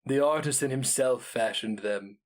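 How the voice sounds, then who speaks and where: A man voicing an ancient, mad, inhuman immortal in a dramatic fantasy reading, speaking gravely and portentously. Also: medium pitch, normal speed, close to an everyday voice. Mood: grave, ominous.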